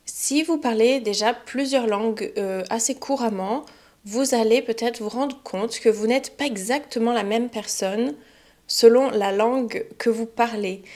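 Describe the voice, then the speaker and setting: A young woman, a French teacher, giving a relaxed spoken lesson to learners, chatty and often pausing with fillers and restarts. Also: a little high. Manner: chatty, many fillers and self-corrections.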